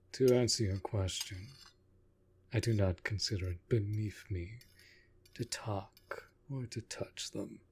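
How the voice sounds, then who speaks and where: An actor playing a courtly, aloof but caring vampire master in an intimate roleplay audio drama, speaking formally with soft condescension. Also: male voice, on the low side, a little hiss or hum. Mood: patient, condescending. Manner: formal, measured, softly condescending.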